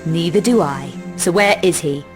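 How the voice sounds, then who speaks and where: A woman's voice: on the low side, moderate pace, faint music behind, close to an everyday voice. A woman playing a self-assured, threatening young adventurer in a video game, speaking in a cool, dry, sardonic way. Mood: cool, pushy. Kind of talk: video game cutscene voice acting.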